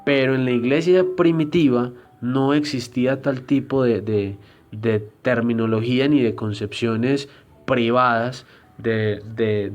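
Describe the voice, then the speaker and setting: Man teaching, slow and hesitant. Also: medium pitch. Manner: deliberate, explanatory, frequent hesitation fillers. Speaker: Bible teacher. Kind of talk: church history lecture.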